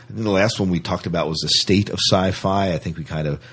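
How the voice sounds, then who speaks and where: A man's voice: low-pitched, quick pace, fairly bright tone, husky. A man hosting a podcast in casual chat, rambling along and often rephrasing himself. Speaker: podcast host.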